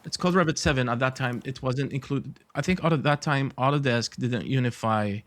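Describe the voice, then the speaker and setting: A man's voice: mid-range voice, brisk, fairly bright tone, no room sound. Thoughtful Iraqi-born man, dry sound. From Iraq. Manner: thoughtful, many fillers, dry humor. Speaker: podcast guest, architect. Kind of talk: casual interview talk.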